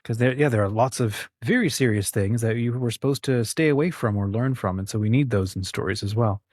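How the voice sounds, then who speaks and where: A man's voice: medium pitch, a little fast, a little mellow, breathy edge, dry recording, studio quality. A man hosting a casual interview, thinking aloud a little hesitantly as he talks. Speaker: interview host.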